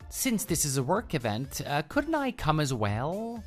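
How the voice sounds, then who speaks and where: A man's voice: fairly high pitch, huge pitch swings, unhurried. Man playing a gushing, lovestruck office coworker. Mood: let down. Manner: flowery, gushing, stammering when flustered. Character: clingy, lovestruck male office coworker who can't take hints. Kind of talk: radio drama dialogue. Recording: voice over background music.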